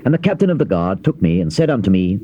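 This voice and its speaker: A man giving a solemn, measured, theatrical scripted narration of scripture in a declamatory manner. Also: deep voice, big pitch swings, mellow. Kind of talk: dramatized Bible reading, theatrical scripted narration. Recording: dull lo-fi cassette with noise.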